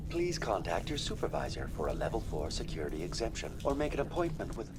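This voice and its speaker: A man's voice: lowish voice, big pitch swings, quick pace, background noise. A man voicing a polite, impassive virtual computer assistant in a video game, speaking formally and measuredly. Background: music.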